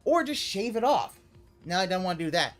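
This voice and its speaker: A streamer giving live reaction commentary on a video, ranting and cursing, sometimes breaking into loud yelling. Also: mid-range voice, big pitch swings, brisk, faint music behind.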